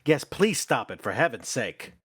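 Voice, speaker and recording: Man playing a lively-pitched, brisk theatre manager. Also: slightly rough, no echo, studio quality. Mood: curious, impatient. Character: theatre company manager, courteous but brisk. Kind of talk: theatrical stage drama acting.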